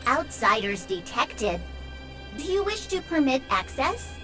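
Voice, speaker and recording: A woman's voice: high-pitched, very animated, measured pace, over music. A woman voicing a polite robot gatekeeper in a video game, clipped and formal. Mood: formal, neutral.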